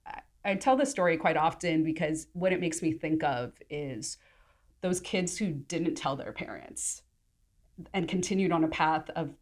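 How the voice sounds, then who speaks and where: A woman's voice: low voice, animated, normal speed. A young adult woman from the United States, casually and animatedly telling a story as a guest in a roundtable chat. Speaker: podcast guest.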